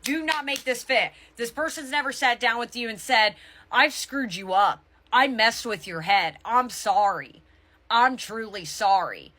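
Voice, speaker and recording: Bright, higher-voiced woman reading tarot. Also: lively intonation. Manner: emphatic, halting and self-correcting. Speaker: tarot reader. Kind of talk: casual spoken tarot reading to viewers.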